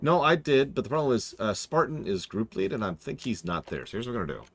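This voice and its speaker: A man in his forties chatting casually on a game stream, joking in a dry, deadpan way. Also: mid-range voice, quick pace, a little hiss or hum. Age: 40s.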